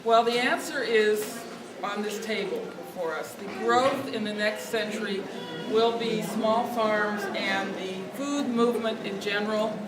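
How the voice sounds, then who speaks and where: Quiet-voiced woman speaking from a distance. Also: mid-range voice, unhurried, very noisy. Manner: earnest, speaking out over a crowd. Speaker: speaker at a lobby day. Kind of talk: outdoor speech without a mic. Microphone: no mic, picked up from a distance. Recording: distant echoey recording with loud ambience.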